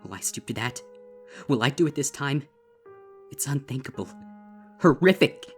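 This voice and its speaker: A man's voice: mid-range voice, animated, natural voice. Traumatized young female unicorn, read by a man, grave and desperate horror narration with music underneath. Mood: desperate, intense. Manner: grave, deliberate, intense. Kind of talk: dramatic first-person horror story reading.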